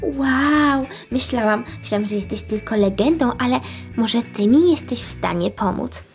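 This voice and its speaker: Casting: helpful Minion girl detective played by a woman, high voice, wide-eyed, stammering, toy-story play. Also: expressive, normal speed, soft background music. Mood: excited. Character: eager, jumpy, helpful female Minion detective.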